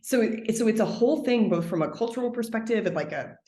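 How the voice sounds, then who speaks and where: A woman's voice: expressive, fast, dark tone, echoey room. A woman talking at length as a podcast guest, thoughtful and expansive, with many "like" fillers. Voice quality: trained singer, speaks lower than her singing range. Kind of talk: conversational interview.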